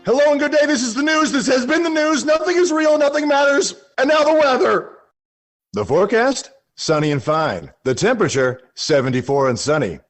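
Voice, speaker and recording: A man's voice: very high-pitched, expressive, a little fast, faint music behind. A man playing an uneasy, existential news anchor on a mock game radio report, stilted and theatrical. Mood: grandly bleak.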